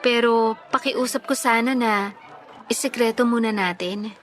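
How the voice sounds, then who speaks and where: An actress playing a teasing but sensible young college sweetheart in a radio drama, pert and pressing. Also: female voice, on the high side, fairly even intonation, measured pace, faint music behind, lightly acted. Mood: earnest, secretive. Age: young adult. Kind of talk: Tagalog radio drama acting.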